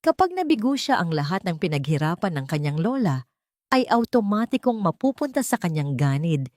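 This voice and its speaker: Low-voiced woman narrating a dramatic story. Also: sing-song, normal speed, a little mellow.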